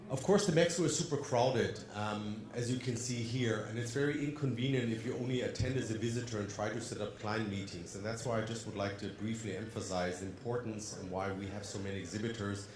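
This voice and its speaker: A man's voice: fairly low pitch, a little fast, hardly any pauses, darkish tone, echoey room. A man from Germany with a slight German-tinged accent in his English, giving an upbeat conference presentation and putting questions to the audience. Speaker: conference speaker. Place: conference hall.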